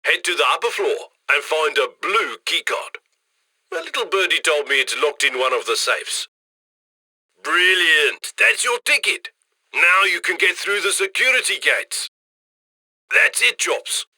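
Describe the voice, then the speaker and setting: A man's voice: fairly high pitch, lively intonation, measured pace, sharp tone, no room sound, heavily acted. A man playing a cheerful, cheeky heist handler who chummily guides a robbery crew in a video game. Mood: brisk, scheming, then gleeful, praising. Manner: chummy, cheeky, colloquial, gleeful about loot. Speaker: mission handler. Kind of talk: video game mission voice lines.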